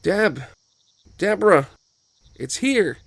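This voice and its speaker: A man's voice: high voice, sing-song, slow, vocal fry, voice pushed up, full character voice. A man playing an eager father talking to his daughter in a table read of a screenplay. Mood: excited. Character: excited dad calling out to his daughter.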